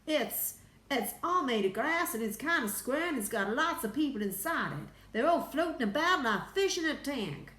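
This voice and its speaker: A woman voicing a flustered, earnest male astronaut in a storybook, crying out emphatically in excitement. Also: sits high, a little slow, hardly any pauses, echoey room, pitched above the performer's own voice, exaggerated voice. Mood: amazed, insistent.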